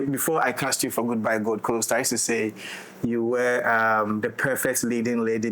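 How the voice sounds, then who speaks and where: A man's voice: medium pitch, fairly bright tone, low-quality recording. A man speaking as a director in a casual, teasing conversation. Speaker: director in a discussion. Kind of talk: casual chat. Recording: noticeable background noise.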